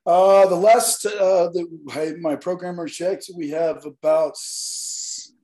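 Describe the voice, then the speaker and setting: A hoarse man from Northeast Alabama, a guest talking earnestly and at length in an interview. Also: sits high, steady tone, slow, warm tone, poor audio. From Northeast Alabama, US South. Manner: earnest, rambling explanations. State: hoarse, partly lost voice. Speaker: guest, nightlife event promoter. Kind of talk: casual interview talk.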